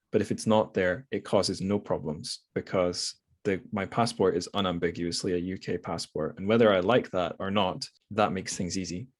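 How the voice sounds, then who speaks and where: Scottish male podcast host, very low voice, loose conversational delivery with frequent restarts. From Scotland. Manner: rambling, hesitant with many restarts and fillers. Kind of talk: casual conversation.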